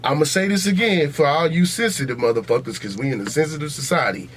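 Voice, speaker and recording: A man's voice: on the high side, fast, a little hiss or hum. An American man hosting a podcast in a casual, opinionated debate, blunt and profane, repeating himself for emphasis. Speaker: podcast host.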